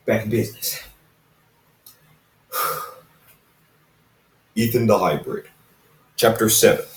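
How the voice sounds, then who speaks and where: Echoey, slow-paced man telling a story. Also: lowish voice. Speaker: fan fiction narrator reading own recording. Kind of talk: informal storytelling, reading aloud with asides to listeners. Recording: roomy, echoey, distant microphone.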